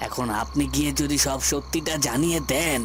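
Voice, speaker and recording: Man acting a scheming, higher-voiced cartoon villain. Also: fairly quick, over music, close to an everyday voice. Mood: smug. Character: scheming, power-hungry male villain plotting for the throne. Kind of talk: dubbed cartoon fairy-tale acting.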